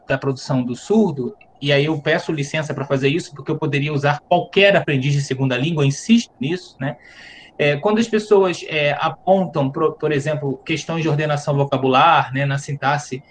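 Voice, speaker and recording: A man with a fairly high voice gives an academic conference talk as a professor. He explains his points step by step, thinking aloud and restarting his phrases often. A faint hiss sits under his voice from a computer mic on a video call. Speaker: university professor.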